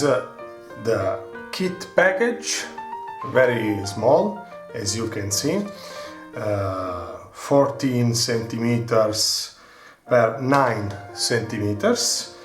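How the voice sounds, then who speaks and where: A middle-aged man from Italy with an Italian accent, slowly showing the parts of a radio kit, often pausing on filler sounds. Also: mid-range voice. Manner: slow, careful, many filler sounds. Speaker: hobbyist presenter. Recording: echoey room, faint music behind.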